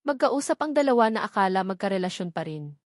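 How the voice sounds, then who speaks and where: A woman narrates a romance-style novel in the first person, reading from a script. Her medium-pitched voice is recorded very dry, with hardly any room sound around it. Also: sing-song, quick pace, no room sound. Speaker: audiobook narrator.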